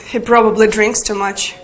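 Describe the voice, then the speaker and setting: A woman's voice: mid-range voice, a little hiss or hum, lightly acted, rough recording. A probing, disapproving office woman acted by a woman in a live-action game. Faint room ambience sits behind her voice.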